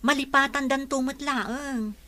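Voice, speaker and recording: A woman's voice: high-pitched, fairly even intonation, a little slow, bright, forceful tone. An actress with an Ilocano accent playing a caring, worried mother in a radio drama, coaxing and reassuring. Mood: concerned, reassuring. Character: caring, worried mother counselling her grown daughter.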